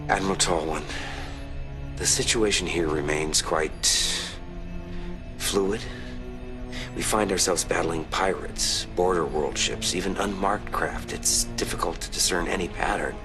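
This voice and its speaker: A seasoned American military pilot in a sci-fi game. His voice is very low and his delivery understated and guarded. Music plays under the lines. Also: measured pace. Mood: grave, measured. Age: 40s. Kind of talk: cinematic science fiction game acting.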